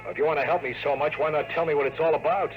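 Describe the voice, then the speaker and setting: A man's voice: on the high side, steady tone, racing, lightly acted, low-quality recording. An actor playing a wisecracking, world-weary male private investigator who narrates and talks in hardboiled 1950s radio drama style. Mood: pressing, wry. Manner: wry, slangy, sardonic. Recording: old radio broadcast with music.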